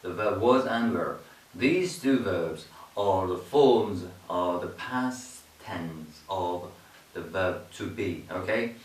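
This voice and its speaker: A man teaching an English grammar lesson, explaining patiently, repeating points and often checking in with "okay?". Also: on the low side. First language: Persian. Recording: roomy, distant mic.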